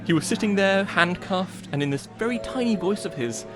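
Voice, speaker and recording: A man acting a dry, good-humoured police officer bantering casually in an audio drama, measured and teasing. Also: sits high, animated. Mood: amused. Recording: music behind the voice.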